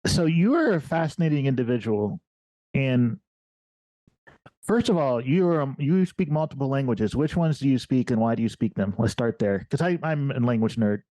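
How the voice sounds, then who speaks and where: Male podcast host in his forties from Ohio, warm low timbre, dry close mic, relaxed conversational delivery. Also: moderate pace, no room sound, poor audio. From Ohio, USA. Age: 40s. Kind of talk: casual interview.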